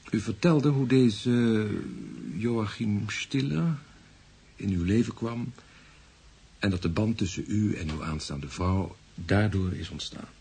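An actor in a radio drama playing a calm, courteous adviser who speaks in a polite, modest, measured way. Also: male voice, fairly low pitch, husky, heavily acted. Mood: measured, serious. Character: calm, courteous male adviser receiving a nervous client.

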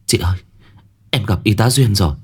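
A man voicing an earnest, emotional young woman in a story, halting and repeating words. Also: on the low side, darkish tone, slightly rough. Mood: tearful, urgent.

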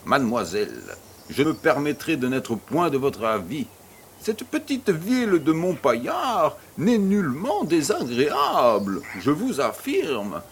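An actor playing a courteous, worldly baron in a French audio drama, with a polite and playful flourish. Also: male voice, a little high, huge pitch swings, a little slow, slight background noise, exaggerated voice. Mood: gallant. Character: courteous, worldly Parisian baron, suave and amused.

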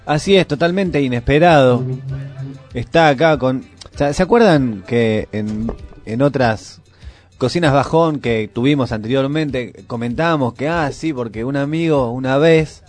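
A man co-hosting a radio show with halting, informal chat. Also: medium pitch, darkish tone, soft background music. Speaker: radio co-host. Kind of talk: casual live radio chat. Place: radio studio.

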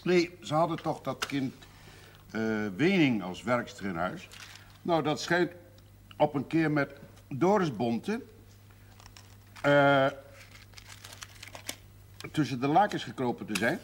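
A man's voice: medium pitch, very animated, slow, pauses often. An actor playing a wry, probing older village father in a naturalistic television drama, with understated and hesitant phrasing. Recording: faintly noisy 1970s television soundtrack, tableware clinks.

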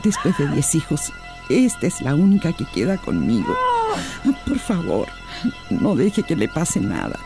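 A woman's voice: low-pitched, wildly swooping pitch, unhurried, loud music behind the voice, full character voice. A woman playing an anxious, loving middle-aged mother, fretful, tender and pleading, in a radio drama. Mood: worried.

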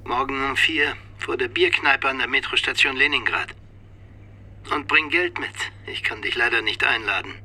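Sharp-voiced, sardonic old Soviet spymaster. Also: male voice, mid-range voice, moderate pace. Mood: blunt, wry. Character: ageing sardonic Soviet ex-intelligence officer, former mentor. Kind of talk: German radio play dialogue.

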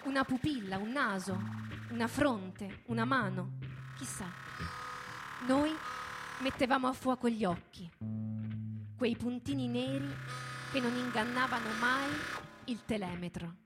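A woman giving a dramatic scripted reading as in radio theatre, in measured phrases with deliberate pauses. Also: on the high side. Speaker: narrator, theatre performer. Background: music.

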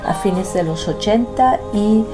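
A woman in her sixties from Chile, a theatre director speaking as an interview guest in a slow, thoughtful, measured way. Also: mid-range voice, music in the background. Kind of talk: casual interview talk.

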